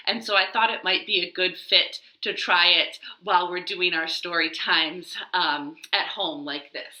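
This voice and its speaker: A woman's voice: mid-range voice, sharp tone. A woman hosting a children's storytime from a living room, talking expressively and simply to young children and reading aloud. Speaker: library storytime host. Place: indoors, living room at home. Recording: roomy home living-room echo.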